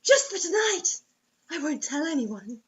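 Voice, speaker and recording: A woman's voice: sits high, big pitch swings, unhurried, dull audio. A woman voicing a lovesick young blonde actress who begs with exaggerated melodrama, in a comic story reading. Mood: begging. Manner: exaggerated begging.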